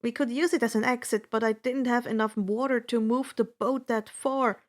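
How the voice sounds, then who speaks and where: A woman reading a first-person adventure story aloud as an expressive, dramatic narrator. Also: on the high side, normal speed. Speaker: audiobook-style fan fiction reader.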